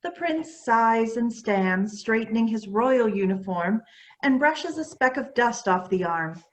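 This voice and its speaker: A woman's voice: fairly high pitch, lively intonation, measured pace. A woman narrator reading scripted stage directions for a play.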